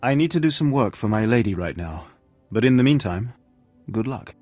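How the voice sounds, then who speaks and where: A man playing a courteous, flattering Sith bodyguard in a video game, with a formal, smooth-talking manner. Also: mid-range voice, moderate pace, faint music behind, lightly acted. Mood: admiring, flirtatious. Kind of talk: scripted video game dialogue.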